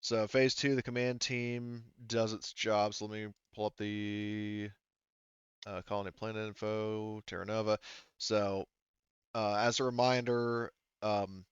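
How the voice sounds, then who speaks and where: A man's voice: fairly low pitch, level tone, measured pace, clear, resonant tone, dry recording, lo-fi sound. A man running a tabletop game session as game master, talking casually in a rambling, hesitant way. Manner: rambling, hesitant, often repeats "you know" and "like".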